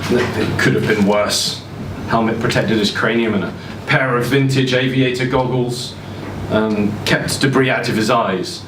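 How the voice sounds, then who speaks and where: A man performs a short story at a live reading in an expressive storytelling voice, pitched around the middle for a male. He occasionally trips on a word. The mic is some way off in a roomy indoor space, with steady background noise clearly audible. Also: a little slow, few pauses, far from the mic. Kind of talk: live dramatic reading of first-person fiction. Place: indoor social venue, live event.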